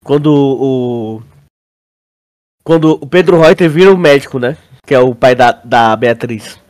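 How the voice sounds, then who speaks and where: A man's voice: mid-range voice, measured pace, a little mellow. A Brazilian man co-hosting a podcast in casual, slangy chat, often repeating words as he searches for phrasing. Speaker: podcast co-host. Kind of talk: casual chat, theorizing about a show.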